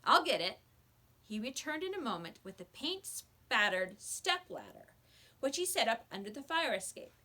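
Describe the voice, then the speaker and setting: A woman reading a children's book aloud with expressive storybook narration, like a teacher to a class. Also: on the high side, normal speed.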